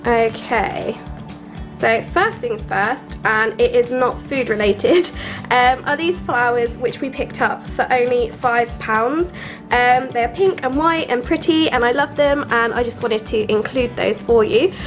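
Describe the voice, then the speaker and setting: Young female vlogger, congested cold voice, high-pitched and chatty, faint music underneath. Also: a little slow. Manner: chatty and upbeat, with frequent ums and small laughs. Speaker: lifestyle vlogger. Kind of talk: casual haul vlog to camera. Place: small flat, indoors at a table. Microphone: camera mic at close range.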